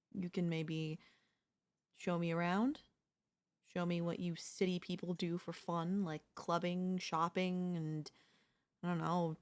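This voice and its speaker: A woman's voice: lowish voice, darkish tone. A young woman playing an obsessive, lovesick yandere neighbor in an intimate, intense audio roleplay. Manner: intimate, pleading, emotionally intense delivery. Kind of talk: ASMR audio roleplay acting.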